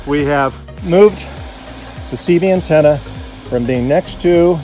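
A man's voice: fairly high pitch, unhurried, warm tone, faint music behind. An American man, around his fifties, chatting casually and thinking aloud as he explains his gear hands-on. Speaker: vlogger demonstrating Jeep radio gear. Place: outdoors by a vehicle and inside a truck cab.

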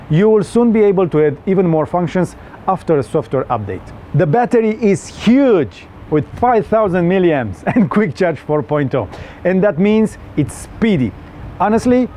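A man's voice: on the high side, mellow, a little hiss or hum. A young man with a Romanian accent, giving an earnest, slightly stilted scripted review of a phone with small jokes. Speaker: tech reviewer.

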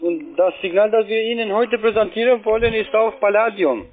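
Male cold caller, non-native speaker, unusually high voice, tinny line. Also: normal speed. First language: not German. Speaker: telemarketer. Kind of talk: phone sales pitch. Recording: tinny narrow phone line.

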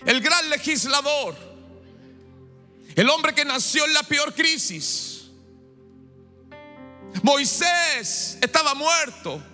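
A man's voice: very high-pitched, lively intonation, drawn-out words, long pauses. A man in his forties, born in Chile, preaching a fervent sermon into a handheld mic on a big church stage. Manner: fervent, rising to shouts and exclamations. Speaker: pastor. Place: large church stage before a big congregation. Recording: echoey hall with music behind.

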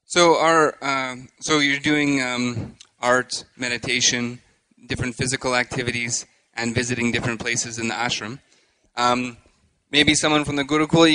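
A man in his thirties hosting a live interview on a handheld microphone, speaking haltingly with frequent pauses. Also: mid-range voice, sharp tone, rough recording. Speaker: host. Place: indoor stage with curtain.

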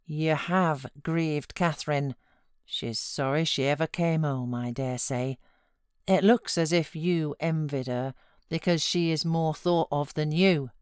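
Woman playing a frank, low-voiced British housekeeper. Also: smooth, no room sound, clean studio sound. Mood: chiding. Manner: brisk advice, coaxing and chiding.

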